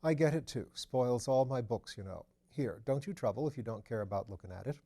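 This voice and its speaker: An offhand upper-class gentleman sleuth from a mystery novel, read by a man. His talk is breezy, clipped and flippant, in a mellow voice. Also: mid-range voice, expressive, a little fast. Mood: casual, breezy. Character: casual, breezy aristocratic English gentleman sleuth. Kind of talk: audiobook character voice.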